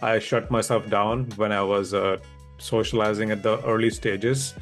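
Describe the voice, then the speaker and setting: Lowish-voiced man. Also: steady tone, normal speed, soft background music. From India. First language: Hindi. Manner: candid, reflective, laughs easily. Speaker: guest, public speaking coach. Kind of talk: conversational interview.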